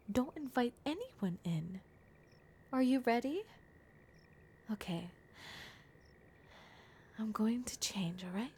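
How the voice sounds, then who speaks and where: A woman's voice: medium pitch, warm tone, a little hiss or hum, close to an everyday voice. A woman voicing a blunt, protective young werewolf girl in an ASMR roleplay, often dropping into a whisper. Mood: confident, hushed. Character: gruff, protective young werewolf girl, exasperated but caring.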